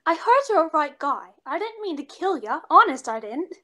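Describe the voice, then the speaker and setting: A woman's voice: sits high, sing-song, normal speed, pushed and bright, heavily acted. A young woman playing a brash, lovesick chorus girl with slangy, melodramatic tough talk in 1940s radio comedy style. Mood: lovesick, pleading. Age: young adult. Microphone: seated before camera on video call.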